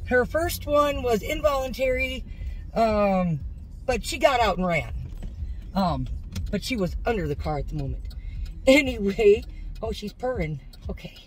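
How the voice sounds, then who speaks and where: A woman's voice: on the high side, animated, measured pace, slight background noise, rough recording. A middle-aged woman with an American accent chatting informally and folksily to viewers as she vlogs from inside a car. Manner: informal, folksy, self-narrating. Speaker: vlogger. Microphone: phone or camera on the dashboard.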